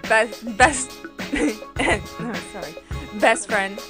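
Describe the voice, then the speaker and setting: A woman voicing an earnest, devoted young helper in an amateur comic dub, with a halting manner. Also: on the high side, over music. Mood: caring.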